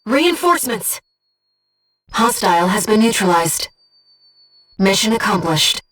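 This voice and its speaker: Disembodied female game companion, gentle, composed, earnest, precise formal phrasing, mid-pitched, slightly distant, music behind. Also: sing-song, a little slow. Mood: alarmed, urgent. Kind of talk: scripted video game voice acting, mission guidance.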